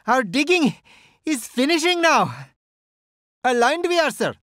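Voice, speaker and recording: A man's voice: extremely high pitch, big pitch swings, measured pace, sharp tone, dry recording, studio quality, full character voice. A man voicing a British Empire artillery soldier from India, giving stiff, oddly worded reports. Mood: dutiful. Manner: formal, oddly phrased reports. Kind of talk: game unit voice lines.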